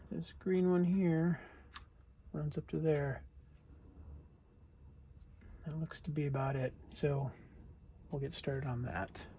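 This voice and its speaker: A man narrating his radio repair in a cold home workshop, thinking aloud in a relaxed way and murmuring as he works. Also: on the high side. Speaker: hobbyist radio restorer. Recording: noisy workshop ambience. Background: steady background noise.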